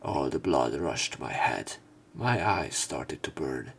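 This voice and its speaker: A man reading a visual novel aloud as a let's play narrator, in an expressive storytelling style. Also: very deep voice, slow, creaky, breathy edge.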